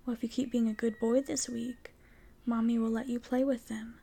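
Woman, whispered roleplay voice, teasing and coaxing, mellow with a gravelly edge. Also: on the high side, level tone, moderate pace. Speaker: audio roleplay performer.

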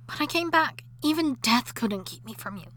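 A woman voicing an obsessive, jealous wife who has come back from the dead, in an audio drama that moves between intimate whispering and shrill shouting. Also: expressive, normal speed. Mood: frantic, possessive. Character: obsessive jealous undead wife, possessive and unstable.

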